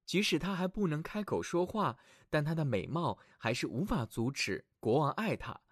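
A man narrating fairy tales for children as a storyteller, reading expressively and dramatically from a script with clear phrasing. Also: a little high, animated, moderate pace, no room sound, studio quality. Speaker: children's storyteller, show host.

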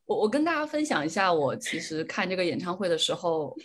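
A woman's voice: on the low side, very animated, fast. A young adult woman chatting casually on a solo podcast, reflective and repeating words for emphasis as she shares her opinions. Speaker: podcast host.

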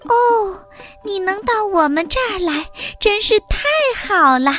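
Woman playing a storybook country mouse, high-pitched, animated, kind, exclamatory, welcoming. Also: measured pace, faint music behind. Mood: overjoyed. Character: kind, happy, hospitable little country mouse. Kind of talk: children's storybook character voice.

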